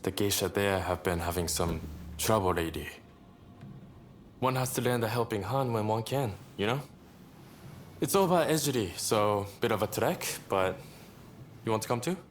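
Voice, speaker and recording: A man's voice: lowish voice, moderate pace, long pauses, slight background noise, lightly acted. A man playing a courteous, roguish samurai rebel leader with wry, theatrical phrasing in a video game cutscene. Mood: wry, breezy.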